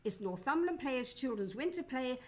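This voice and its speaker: A woman's voice: high voice, expressive, normal speed. A woman announcing and narrating in a theatrical, formal old-time radio show style.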